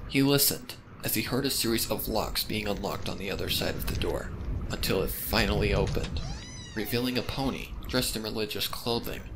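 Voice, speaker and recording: A man's voice: on the low side, animated, a little fast, background noise. A man reading a story aloud as a narrator, with dramatic scripted delivery. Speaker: fan fiction narrator.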